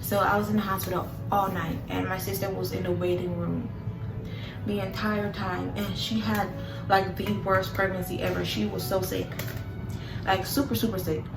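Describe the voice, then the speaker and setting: A woman's voice: mid-range voice, flat delivery, normal speed, creaky, music in the background. A young woman vlogger, telling a story casually, saying "like" and "literally" often. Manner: chatty, many "like"s and "literally"s. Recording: echoey room from a distance with background noise.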